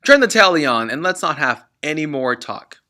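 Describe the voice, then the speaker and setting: Man performing a crooked boastful father, storybook character, smug, blustering, forceful tone, very animated pitch. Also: moderate pace, exaggerated voice. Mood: angry, blustering.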